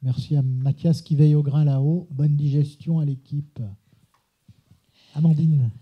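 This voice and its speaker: A man moderates a conference in brief, clipped phrases. His voice is husky and a little high for a man. The recording sounds dull and muffled. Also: flat delivery, a little slow. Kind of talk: brisk event moderation.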